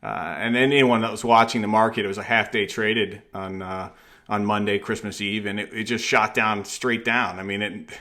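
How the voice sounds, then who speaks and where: Lower-voiced man, halting podcast interviewer. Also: fairly even intonation, sharp tone. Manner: halting, rambling lead-ins to questions. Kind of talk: conversational interview.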